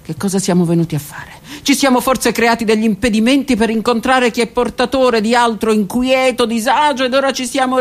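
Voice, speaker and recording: Actress in an intimate stage monologue. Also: female voice, medium pitch. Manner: intimate, reflective, shifting between narration and direct address. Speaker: actress and theatre teacher. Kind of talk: theatrical monologue for radio.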